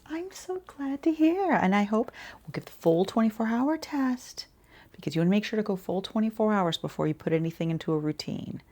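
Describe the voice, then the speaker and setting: Husky, soft-spoken woman doing ASMR. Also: medium pitch, lively intonation, brisk. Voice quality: soft, breathy, often slipping into whisper. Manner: casual, rambling, reassuring the client. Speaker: ASMR creator playing a skincare boutique consultant. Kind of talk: quiet-talking ASMR roleplay, chatty consultation.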